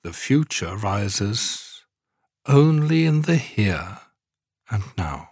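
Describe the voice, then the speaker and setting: A man guiding a scripted relaxation meditation in a calm, soothing, measured way, leaving long pauses. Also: lowish voice, husky, dry recording, studio quality. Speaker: meditation guide, podcast host. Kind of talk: guided yoga nidra relaxation meditation, scripted narration.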